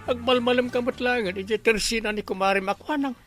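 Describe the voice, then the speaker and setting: A comic Ilocano villager in a radio drama, excitable and greedy. His voice is pitched extremely high, almost in a woman's range. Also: a little fast, faint music behind. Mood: curious, excited.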